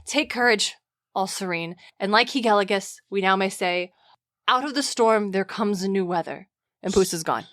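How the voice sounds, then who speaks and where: A woman voicing an excitable, jittery male servant in an amateur reading of an ancient Greek comedy, with theatrical, archaic phrasing. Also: mid-range voice, normal speed, natural voice. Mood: reassuring.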